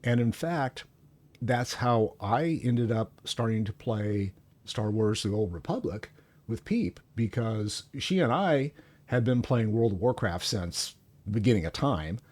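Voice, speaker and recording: A man's voice: fairly low pitch, expressive, a little slow, a little mellow. An older man chatting casually about gaming as he hosts a stream. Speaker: game streamer, host.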